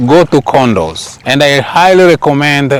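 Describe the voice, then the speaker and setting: Clear-voiced man, native Swahili speaker, vlogging casually. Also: fairly high pitch, very animated, normal speed. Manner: rambling, repeats phrases for emphasis. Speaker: travel vlogger. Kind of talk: casual vlog talk.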